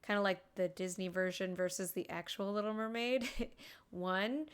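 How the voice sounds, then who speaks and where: A woman's voice: medium pitch, steady tone, moderate pace, smooth. A woman live-streaming a game, commenting casually with wry, sarcastic asides. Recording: game music behind the voice. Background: video game music.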